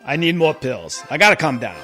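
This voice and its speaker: A man acting a stressed, weary mobster father in a comedic radio play, halting and exasperated. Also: on the high side, big pitch swings, bright, forceful tone, soft background music. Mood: agitated.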